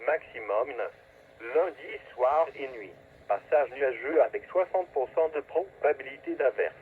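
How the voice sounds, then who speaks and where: A man's voice: mid-range voice, animated, unhurried. Tinny automated male weather radio announcer. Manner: clipped, segmented automated delivery. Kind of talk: scripted weather forecast reading. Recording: tinny phone-like weather radio, static noise.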